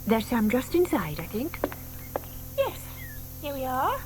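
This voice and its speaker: A woman's voice: high voice, huge pitch swings, unhurried, background noise, heavily acted. An actress playing a genteel, doting older British lady in an audio drama, gushing, fussing and polite. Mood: trusting, hesitant.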